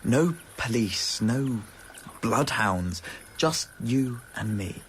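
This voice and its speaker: An actor playing a troubled, stubbornly determined young gentleman in a radio drama, speaking in earnest, educated phrases. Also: mid-range voice, expressive, drawn-out words. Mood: eager, determined. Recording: faintly noisy old radio recording.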